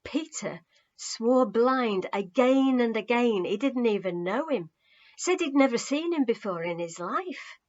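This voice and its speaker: A woman voicing a distraught young man, emotional, emphatic and halting, in a story reading with muffled sound. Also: a little high, animated, darkish tone, voice pushed up. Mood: ashamed, urgent. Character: distraught young male follower recounting a betrayal.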